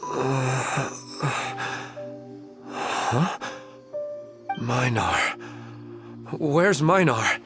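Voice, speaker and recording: A gentle, dreamy widower in a game story speaks slowly at a middling pitch. He is earnest, tender and wistful, with music behind his voice. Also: animated. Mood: dazed. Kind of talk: video game voice acting. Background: background music.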